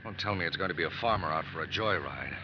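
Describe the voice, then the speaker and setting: An actor playing a brisk, suspicious FBI agent in an old radio crime drama, asking terse questions. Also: male voice, sits low, expressive. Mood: suspicious, demanding. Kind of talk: 1940s-50s radio crime drama acting. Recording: muffled old radio recording with noise.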